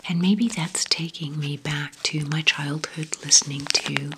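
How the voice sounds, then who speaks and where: A woman whispering gently through an ASMR question-and-answer video, talking fondly and slowly with soft fillers. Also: deep voice, steady tone, background noise. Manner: slow, gentle, affectionate rambling with fillers. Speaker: ASMR creator.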